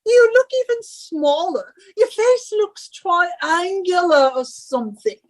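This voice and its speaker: A woman's voice: extremely high pitch, expressive, slow, full character voice. An actress playing a domineering, henpecking wife who scolds and belittles her husband in a radio suspense drama. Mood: scornful.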